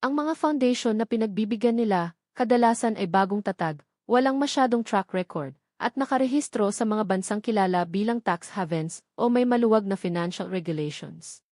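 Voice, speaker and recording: An earnest, observant wife in an audio drama, played by a woman narrator with a Filipino accent. She lays out her findings in a measured way, at a medium pitch. The sound is dry and close. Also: lively intonation, darkish tone, no echo, lightly acted.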